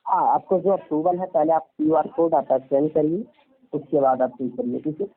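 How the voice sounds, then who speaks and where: A man's voice: fairly high pitch, steady tone, normal speed. A man talking like a phone loan agent, insistent and repetitive, interrupting and constantly using polite forms of address. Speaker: caller presenting himself as finance company loan agent. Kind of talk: persuasive phone sales talk. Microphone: telephone. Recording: tinny narrowband phone call recording.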